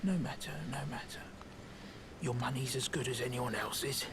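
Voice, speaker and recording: Casting: man playing a shifty game trader, mid-pitched and hushed, with clear noise behind him. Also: moderate pace, lightly acted. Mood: sly, secretive. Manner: hushed, conspiratorial. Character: furtive, sly merchant tucked in a nook. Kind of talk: scripted fantasy game dialogue.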